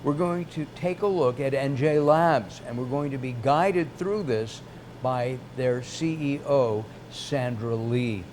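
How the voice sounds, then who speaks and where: A man hosting an interview and asking conversational questions in halting, stop-and-start phrases. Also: medium pitch, a little mellow, a little hiss or hum. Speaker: interviewer and series host.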